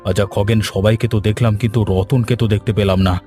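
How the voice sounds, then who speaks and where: A man's voice: on the low side, steady tone, fairly quick, mellow, soft background music, lightly acted. A man playing a short-tempered, threatening village master and father in a cartoon, with a scolding, imperious way of talking. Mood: stern, annoyed. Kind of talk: cartoon voice acting.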